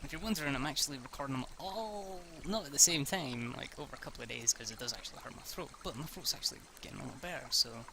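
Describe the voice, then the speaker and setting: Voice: medium pitch, animated, fast, vocal fry, hardly any pauses, background noise. An amateur reader narrating a visual novel aloud, stumbling and self-correcting, with casual chatty delivery. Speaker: amateur reader, video host. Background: music.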